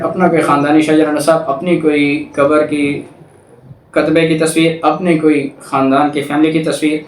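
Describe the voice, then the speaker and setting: A man's voice: a little high, a little mellow. A man with a Pakistani accent giving a methodical, repetitive explanatory lecture, reading clauses aloud and explaining them step by step. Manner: methodical, repetitive step-by-step explaining, switching between Urdu and English. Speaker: law lecturer. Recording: echoey room, distant microphone.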